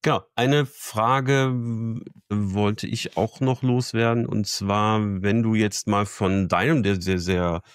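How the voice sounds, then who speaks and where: A man's voice: on the low side, fairly even intonation, slow, clean tone, no echo, studio quality. A man hosting an interview, asking long questions with frequent restarts. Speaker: podcast host.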